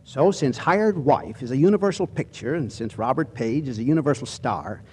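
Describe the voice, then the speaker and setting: A man's voice: medium pitch, expressive, normal speed, warm tone, slightly rough. A man hosting a vintage 1940s radio broadcast, reading a droll, theatrical scripted introduction. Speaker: host and narrator.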